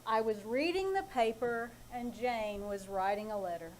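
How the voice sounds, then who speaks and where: A woman's voice: on the high side, normal speed, darkish tone, lightly acted. A woman playing a long-suffering, wisecracking husband in a live old-time radio comedy, with a dry, sardonic delivery. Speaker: actor playing Ace, the husband. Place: live theater stage.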